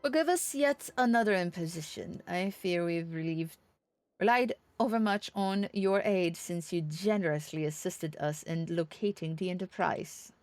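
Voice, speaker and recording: A woman voicing an earnest young nobleman diplomat from a fantasy game, with formal, measured, slightly pompous archaic speech. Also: on the low side, a little mellow, no echo, faint music behind, natural voice. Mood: polite, formal.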